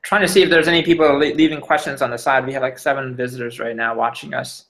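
A man hosting a live online interview, asking questions haltingly with many restarts and fillers. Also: mid-range voice, fairly even intonation, a bit bright. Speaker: interview host.

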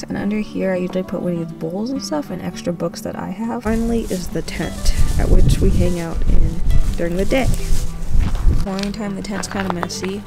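A woman gives a casual campsite tour from behind a handheld camera, chatting as she points out her car and gear. Her voice has a gravelly edge. Music plays clearly under it. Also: on the low side. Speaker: vlogger. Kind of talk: casual vlog walkthrough. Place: outdoor campsite. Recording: background music.

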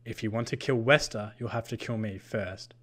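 Storybook young hero, voiced by a male reader: brave, indignant vampire's apprentice, snarling and challenging, raised in pitch and force. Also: moderate pace, a bit bright. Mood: firm, protective.

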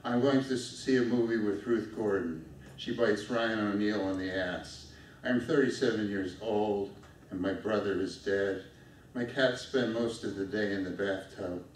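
A middle-aged man reading his own poem aloud at a lectern on a small dark stage, in a steady, measured way. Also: on the low side. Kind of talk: poetry reading. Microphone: stand mic at a lectern, filmed from far off. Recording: echoey room from far off, faint noise.

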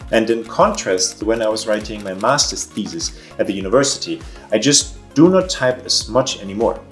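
Young German male vlogger, earnest with deadpan irony, low-ish voice, home office desk, soft music bed. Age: young adult. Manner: earnest, mildly ironic asides. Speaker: vlogger and social auditor. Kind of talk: casual explanatory vlog. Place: home office room, indoors.

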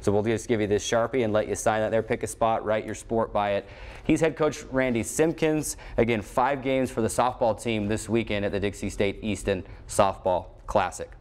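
Low-voiced young American sportscaster. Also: male voice, steady tone, moderate pace. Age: 20s. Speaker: sports show host. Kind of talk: sports broadcast interviewing. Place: small indoor locker-style studio set.

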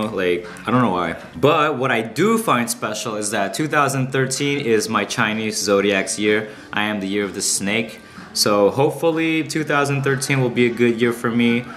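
A man's voice: medium pitch, fairly bright tone. A young man in his twenties from Canada, chatting casually and slangily to his viewers in a small room at home. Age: 20s. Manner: laid-back, slangy, talks straight to viewers. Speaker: vlogger. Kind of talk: casual vlog chat to camera.

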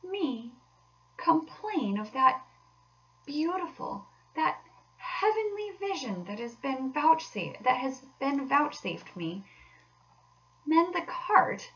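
Woman voicing a rapturous, high-flown storybook toad. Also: very animated, slow pace, fairly bright tone, distant mic, highs cut off, full character voice. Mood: dreamy, adoring. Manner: breathless, swooning, theatrical exclamations. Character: excitable, rapturous male toad, dreamy and obsessed.

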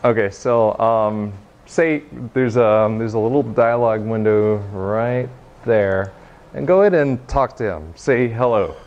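A young man giving an informal technical demo to a room, speaking haltingly with frequent pauses. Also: fairly low pitch, a little mellow. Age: young adult. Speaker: presenter giving a software demo. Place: meeting room, standing by projection screen. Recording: noisy, muffled room recording.

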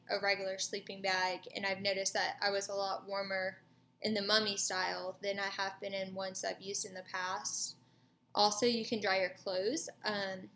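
Even-toned young American woman, casual. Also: medium pitch, slight background noise. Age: 20s. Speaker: student giving a course reflection. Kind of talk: casual spoken reflection to camera. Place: small furnished room indoors.

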